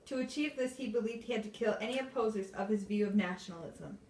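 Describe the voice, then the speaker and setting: A woman's voice: a little high, fast talker, a little mellow, low-quality recording. A woman reading scripted history narration as the narrator of a puppet show.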